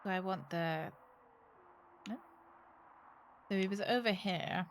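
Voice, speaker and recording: A woman's voice: mid-range voice, takes it slowly, slight background noise. A woman game streamer giving casual, playful live commentary, thinking aloud and exclaiming as she plays.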